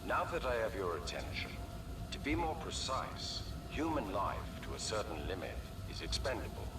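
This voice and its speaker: Deep, echoing, cold military villain. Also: male voice, creaky, exaggerated voice. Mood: cold, lecturing. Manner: grandiose, deliberate, coldly oratorical. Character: cold, ruthless male military commander giving a speech. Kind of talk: scripted video game villain speech. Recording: echoey, distant, noisy with vehicle ambience.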